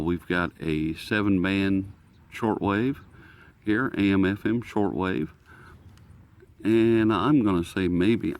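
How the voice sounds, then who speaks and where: An American man with a low-pitched voice talks through a product review in a casual, unscripted way. He is halting, often thinking aloud as he works through the details. He is speaking outdoors. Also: vocal fry. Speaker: host of a radio review video.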